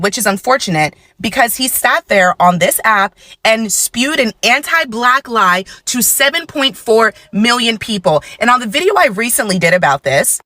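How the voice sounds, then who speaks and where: Emphatic bright-voiced woman commentator. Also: medium pitch, dry recording, clean studio sound. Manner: emphatic, exasperated, repeats for effect. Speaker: commentary creator. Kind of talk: social media commentary.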